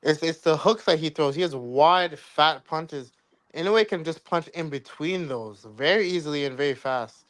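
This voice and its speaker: A man's voice: a little high, moderate pace, a bit bright. A man co-hosting a casual boxing talk, confident and blunt in his predictions.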